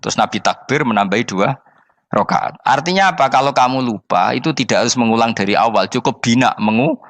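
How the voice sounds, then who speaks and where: A man from Indonesia with a Javanese accent, preaching in a relaxed, joking, storytelling way, often asking rhetorical questions and repeating his points. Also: mid-range voice, brisk, no echo. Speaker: Islamic preacher. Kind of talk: sermon.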